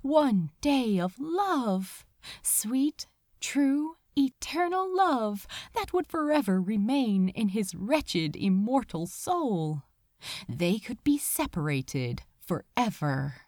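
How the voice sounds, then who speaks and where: A woman reading a story aloud as dramatic literary narration, emotive, with drawn-out phrases and pauses. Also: a little high, very animated, darkish tone, no echo, clean studio sound. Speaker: audiobook reader of fan fiction.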